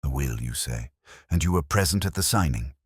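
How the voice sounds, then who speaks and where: A man voicing an incisive Victorian detective in an audiobook, with formal, measured, probing questions. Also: rumbling low, animated, husky, dry recording, clean studio sound, heavily acted. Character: Victorian male detective, incisive, intrigued and commanding.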